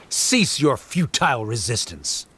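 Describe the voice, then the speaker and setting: An actor playing a haughty, cold academic in a video game, speaking in a pompous, formal and measured way. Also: male voice, medium pitch, wildly swooping pitch, pushed and bright, slight background noise, heavily acted. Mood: stern, dismissive. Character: haughty cold male academic, coolly dismissive debater.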